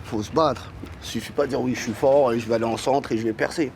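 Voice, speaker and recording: A man speaks in a mid-pitched voice, emphatic and slangy, checking that his listeners agree. Faint background noise sits behind him. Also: fairly even intonation, fast, creaky, a little mellow.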